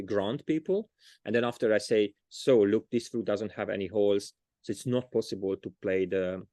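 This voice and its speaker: A man's voice: lowish voice, darkish tone, no echo, studio quality. A man with a Hungarian accent, a storyteller being interviewed, talking casually and often restarting as he searches for words. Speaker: storyteller, guest.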